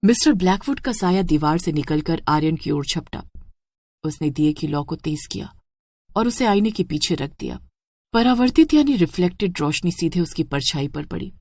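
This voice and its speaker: A woman's voice: fairly low pitch, lively intonation, moderate pace, darkish tone, no echo. A woman giving a dramatic scripted narration of a horror story.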